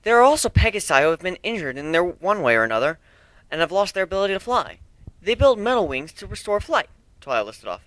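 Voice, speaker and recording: A bookish young mare, acted by a man, polite and precise. Her voice is pitched well up and moves about brightly, as in a cartoon. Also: sing-song, fast talker, no room sound, poor audio. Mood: informative. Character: studious, inquisitive young female pony.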